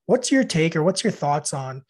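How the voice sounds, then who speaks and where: A man hosting an interview, putting a long question to his guest in a curious, halting way. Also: fairly high pitch, very animated, husky. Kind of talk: asking questions in a podcast interview.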